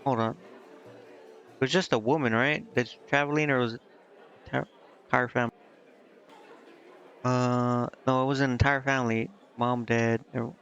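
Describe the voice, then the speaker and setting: A man's voice: medium pitch, fairly even intonation, normal speed, pauses often, fairly bright tone, a faint crowd. A man doing casual live game commentary, informally thinking aloud and reading dialogue out as he goes. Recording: dull, band-limited sound with music. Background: game music.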